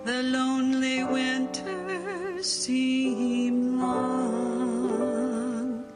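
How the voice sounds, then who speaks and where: High, very slow female singer. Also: fairly even intonation. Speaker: jazz singer. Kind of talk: singing vintage radio jingles and ballads. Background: loud instrumental music.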